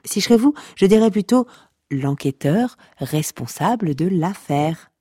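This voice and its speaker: An actress playing a droll narrator of a comic saga, wry and mock-solemn, speaking straight to the listener. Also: female voice, fairly low pitch, animated, unhurried, mellow, breathy edge, dry recording, studio quality. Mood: wry, pedantic. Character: droll, mock-epic female storyteller of a pasta-cult saga.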